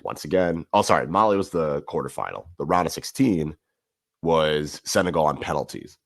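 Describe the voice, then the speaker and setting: A man's voice: very deep voice, normal speed, vocal fry, no echo, studio quality. A man as podcast guest talking through sports in casual chat, rambling and correcting himself as he goes. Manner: animated, rambling analysis with self-corrections.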